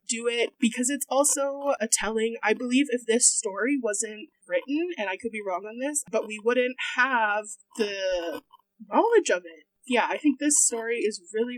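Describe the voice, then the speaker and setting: A woman's voice: a little high, a little hiss or hum, low-quality recording. A woman podcast co-host sharing her personal take on a book in a casual way. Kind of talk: casual spoken book review.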